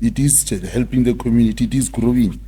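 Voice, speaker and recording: A man's voice: mid-range voice, steady tone, fast, mellow, breathy edge. A man with a South African accent hosting a casual interview, asking questions in hesitant phrases and mixing in a local language. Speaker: talk show host.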